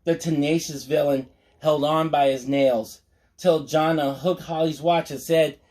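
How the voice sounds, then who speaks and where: A male storyteller with a fairly high-set voice reads at a very leisurely pace. He delivers rhymes expressively and drops in casual, folksy asides to his listeners. The manner is playful throughout. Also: fairly even intonation, unhurried, a little mellow. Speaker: storyteller reading a picture book aloud.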